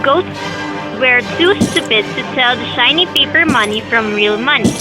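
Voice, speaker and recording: A woman's voice: on the high side, animated, normal speed, loud music behind the voice, rough recording. A young woman reading scripted storybook narration for an amateur audio drama. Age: young adult.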